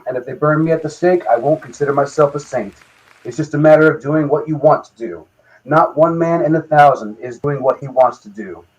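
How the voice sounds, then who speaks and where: A man hosting a podcast, reading scripted bits and interviewing guests in a deadpan, profane comic manner. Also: a little high, brisk, highs cut off. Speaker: podcast host. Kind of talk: scripted show monologue and band interview.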